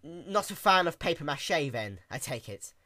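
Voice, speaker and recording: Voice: medium pitch, very animated, moderate pace, pushed and bright, dry recording, studio quality. A storyteller voicing a sheepish, nervous young male pony who stammers awkward excuses in a cartoon fan story.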